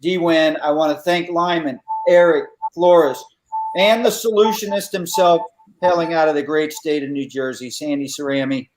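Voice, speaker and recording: A man's voice: on the high side, normal speed, background noise. A man co-hosting a panel show, speaking in a loose, rambling way with many restarts. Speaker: show co-host. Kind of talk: casual panel chat.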